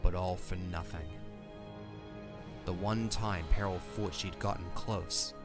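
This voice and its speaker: A man's voice: deep voice, steady tone, slow pace. A man giving scripted third-person narration for a fantasy audio drama. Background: faint music.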